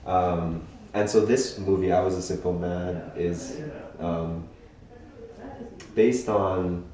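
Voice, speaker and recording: Low-voiced man, thoughtful, echoey room. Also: dark tone. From Hawaii. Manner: thoughtful, halting, many ums and restarts. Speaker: filmmaker interviewee. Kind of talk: casual interview talk. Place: festival venue indoors.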